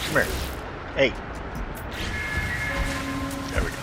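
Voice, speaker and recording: A man's voice: takes it slowly, loud music behind the voice. A man giving casual, rambling commentary while playing a video game, chatting away to the creatures in it. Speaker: gaming video host. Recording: loud background noise under voice.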